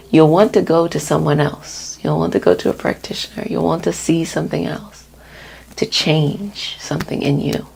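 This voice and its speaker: A woman with a soft, breathy voice that often drops to a whisper gives a soothing, gently reassuring ASMR energy healing talk. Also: fairly low pitch, poor audio. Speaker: energy healer and host of healing sessions.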